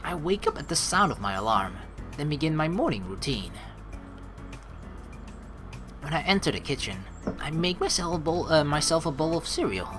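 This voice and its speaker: Animated gamer reading aloud over game music. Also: mid-range voice, normal speed, pauses often. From Netherlands. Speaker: let's play host. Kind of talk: reading visual novel aloud with casual commentary.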